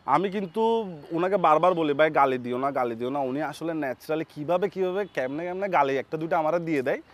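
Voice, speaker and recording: চট্টগ্রামের টানে কথা বলা তরুণ, গলা একটু চড়া. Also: male voice, on the high side, quick pace. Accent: Chattogram. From Chattogram, Bangladesh. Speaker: comedy content creator, interview guest. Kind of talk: casual interview answers.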